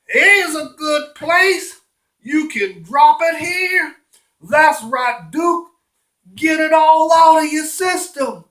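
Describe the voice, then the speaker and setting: A storyteller as a high-pitched, doting dog owner. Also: expressive, heavily acted. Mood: urgent coaxing. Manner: coaxing, drawn-out urging, shouted encouragement. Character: doting, insistent male dog walker, average size. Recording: roomy, echoing room sound.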